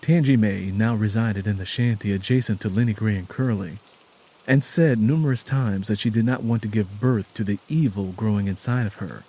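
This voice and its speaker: A man narrating a scripted story dramatically, in a solemn and deliberate manner. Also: on the low side, dark tone, husky, poor audio.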